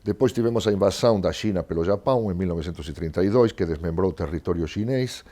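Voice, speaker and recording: A man's voice: sits low, quick pace, dark tone. An older man with an Argentine accent, a native Spanish speaker, talking discursively as an interview guest and often checking in with a short tag question. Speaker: guest historian and professor. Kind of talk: conversational interview, explaining history.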